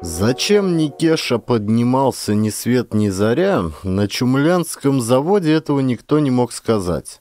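A man narrating a literary story as an actor reading an audiobook, in an expressive storytelling manner. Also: medium pitch, a little slow, hardly any pauses. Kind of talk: dramatic scripted literary narration.

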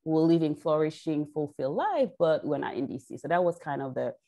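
Haitian woman in her forties, low voice, earnest explainer on a video call. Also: animated, brisk, warm tone. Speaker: guest chocolate maker.

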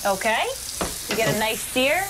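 A young woman hosting a lifestyle show's cooking segment, chatty and quick to react. Her voice is somewhat high. She is on a lapel mic in a studio kitchen, with loud noise running behind her. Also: animated. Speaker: TV lifestyle show host.

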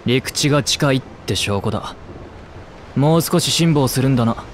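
A man's voice: medium pitch, expressive, moderate pace, a little hiss or hum, lightly acted. An actor voicing a stoic young elf swordsman who speaks in a curt, level way. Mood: steady.

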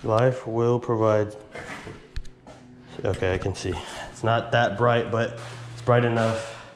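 A man's voice: lowish voice, fairly even intonation, takes it slowly, faint music behind. A young man hosting an exploration vlog, chatting casually to viewers with lots of fillers while walking through an abandoned base. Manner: easygoing, filler-heavy, addresses viewers directly. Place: abandoned military base, outdoors and inside empty buildings.

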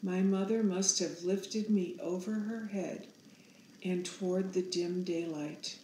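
A woman in her sixties narrating a storybook aloud in a measured, solemn manner. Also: fairly low pitch, steady tone, warm tone, echoey room, slight background noise, rough recording. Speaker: author reading own picture book aloud.

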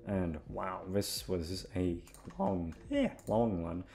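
A man talks casually to his audience as an audio creator. His voice sits fairly low and is full of creaky fry. He rambles, swears freely and puts himself down. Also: big pitch swings, slow, warm tone. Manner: self-deprecating, rambling, sweary. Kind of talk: casual rambling chat to listeners.

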